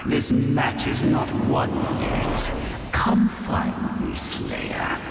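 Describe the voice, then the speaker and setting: Menacing hell priest in cavernous echo. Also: male voice, extremely slow, recorded from a distance. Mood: taunting, menacing. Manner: theatrical, grandiose, preaching and taunting. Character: menacing zealous hell priest, a taunting cult leader. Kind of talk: video game villain acting. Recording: heavy echoing hall reverb, loud music.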